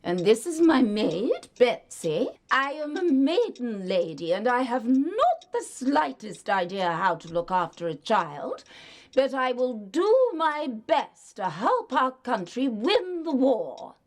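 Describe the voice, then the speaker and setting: A prim wartime maiden lady with an upper-class English accent. She speaks slowly and imperiously in a highish voice that swoops dramatically. It is stiff, formal radio drama acting. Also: very animated, exaggerated voice. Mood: stiffly formal.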